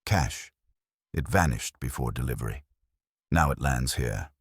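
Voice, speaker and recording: A man voicing a blustering, gruff police inspector in an audiobook, blunt and accusatory. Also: rumbling low, unhurried, dry recording, clean studio sound, voice pushed down, heavily acted. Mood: threatening.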